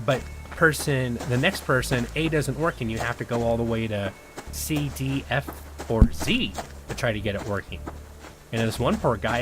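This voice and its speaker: A man's voice: medium pitch, noisy background. Chatty man losing his voice. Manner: rambling, chatty, talks to viewers while playing. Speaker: gaming video host. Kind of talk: casual gameplay commentary.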